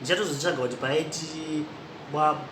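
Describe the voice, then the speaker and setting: A man's voice: a little high, fairly quick. A man hosting a podcast, giving chatty, rambling commentary and laughing at himself now and then. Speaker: podcast host. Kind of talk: casual commentary mixing Shona and English. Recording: echoey room with noisy background.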